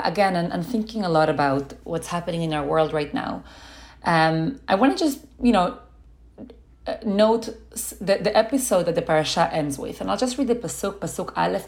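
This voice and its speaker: A woman's voice: sits low, vocal fry. A woman co-hosting a discussion podcast, whose first language is not English, speaking earnestly with frequent restarts and fillers. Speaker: podcast co-host. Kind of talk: reflective conversational discussion.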